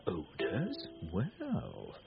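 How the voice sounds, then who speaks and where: A slow, swooping foolish knight. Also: male voice, fairly low pitch, huge pitch swings, vocal fry, exaggerated voice. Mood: envious, marvelling. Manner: halting, savouring fancy words. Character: foolish male knight, envious, easily impressed. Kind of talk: Shakespearean radio comedy acting. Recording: dull recording, plucked music behind.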